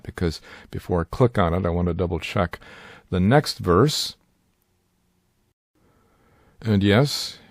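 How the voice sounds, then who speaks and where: Measured older man, low voice. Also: a little mellow, no echo, studio quality. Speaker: Bible teacher and sign language interpreter. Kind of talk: scripted instructional narration.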